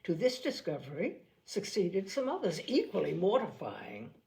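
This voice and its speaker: A woman reading a classic novel aloud in an expressive, literary storytelling style. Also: lowish voice, normal speed, gravelly. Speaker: audiobook reader.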